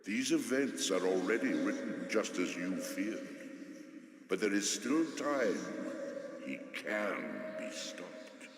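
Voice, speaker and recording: Deep, echoing, slow ancient oracle. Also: male voice, expressive, a little hiss or hum. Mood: urging, portentous. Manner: portentous, drawn-out, commanding delivery. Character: solemn, cryptic ancient male oracle spirit. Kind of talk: dramatic video game voice acting. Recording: long cavernous reverb and echo.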